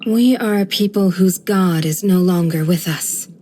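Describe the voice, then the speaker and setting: Game role: actress as serene female village guardian, kindly and dutiful, unhurried speech, mid-pitched, light background noise. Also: natural voice. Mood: solemn, wistful. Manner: measured, gentle, formal storytelling delivery. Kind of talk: scripted video game character voice lines.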